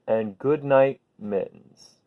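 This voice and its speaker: A man hosting a children's bedtime read-aloud, talking gently and simply to viewers in an unhurried way. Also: medium pitch. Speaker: host reading picture books to children. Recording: phone-like narrow-band sound.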